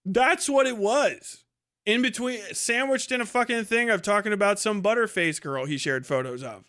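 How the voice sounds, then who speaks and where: A man's voice: sits high, normal speed, a bit bright. A man giving casual, profane live commentary in an exasperated, scornful manner with heavy swearing. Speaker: livestream host reacting to a debate.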